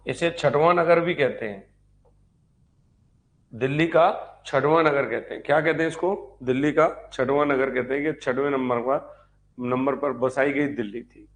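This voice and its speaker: A man's voice: medium pitch, normal speed. Man teaching history, repeating key points. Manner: didactic, repeats points and asks rhetorical check questions. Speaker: history teacher for exam preparation. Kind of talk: live online lecture, dictating notes.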